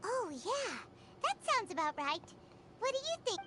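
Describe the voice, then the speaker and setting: Squeaky, excitable tiny fairy companion. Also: female voice, normal speed, very noisy. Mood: puzzled. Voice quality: squeaky, childlike. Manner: refers to herself in the third person, exclamatory. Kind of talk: video game voice acting.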